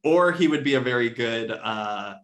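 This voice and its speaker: A man with a mid-pitched voice talks in a loose, conversational way on a podcast panel. He works through his ideas out loud, hedging and circling back as he goes. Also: lively intonation, normal speed. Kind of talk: casual chat about adapting a short story.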